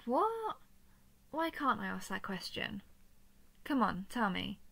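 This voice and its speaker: A royal dragoness played by a woman speaks closely to her listener in an intimate audio drama. Her mid-pitched voice has plenty of creak. She is softly playful, tender and protective, with slightly formal phrasing. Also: very animated, takes it slowly, full character voice. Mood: curious, coaxing. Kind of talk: intimate scripted audio roleplay addressed to listener.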